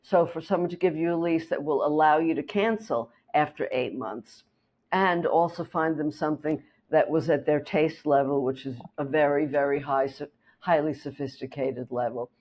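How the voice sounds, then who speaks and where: A woman real estate agent whose first language is German, calmly explaining her work and telling a client story in an interview. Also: sits low. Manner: steady, explanatory, with small restarts.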